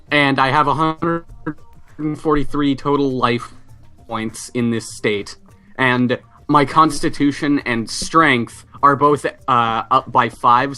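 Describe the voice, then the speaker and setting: A man's voice: mid-range voice, bright, forceful tone. A man explaining his character casually as a player in a tabletop game session.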